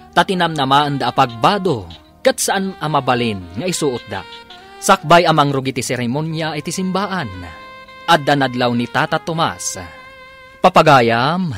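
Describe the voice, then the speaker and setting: A man's voice: a little high, a bit bright. A man with an Ilocano accent working as a radio drama announcer, delivering dramatic scripted narration.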